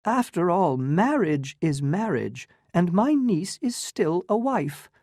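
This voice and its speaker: A narrator voicing a theatrical older woman who gushes and schemes, in a high, airy, affected delivery. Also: very animated, slow, darkish tone, no room sound, clean studio sound, exaggerated voice. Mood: coaxing.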